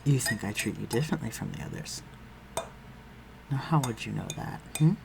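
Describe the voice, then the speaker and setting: A man playing an aristocratic vampire master in a scripted audio roleplay, speaking formally and with measured, condescending concern for the listener. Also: mid-range voice, expressive, creaky, noisy background. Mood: reassuring, protective. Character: aristocratic male vampire master, civil, protective, coolly superior.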